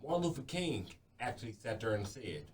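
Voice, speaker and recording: A man's voice: medium pitch, sing-song, a little fast. A man in a podcast discussion, talking emphatically and outspokenly. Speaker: podcast participant. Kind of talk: casual discussion. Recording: distant mic with faint background noise.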